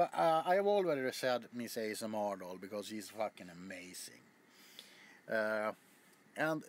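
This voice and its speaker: A man's voice: medium pitch, a little hiss or hum. A man chatting casually to his viewers as a video host, rambling with frequent stammers, restarts and casual swearing. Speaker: ASMR YouTuber.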